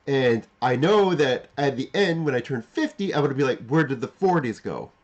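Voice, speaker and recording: Middle-aged man with animated, swinging pitch. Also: muffled recording. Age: 40s. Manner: halting, repeats and rephrases phrases, emphatic. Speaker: livestream host. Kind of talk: unscripted reflective monologue to live chat.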